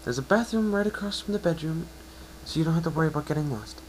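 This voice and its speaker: A man's voice: a little high, lively intonation, quick pace, a little hiss or hum, pitched above the performer's own voice, lightly acted, rough recording. A man voicing a kind, studious young female unicorn pony in an amateur story reading. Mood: helpful.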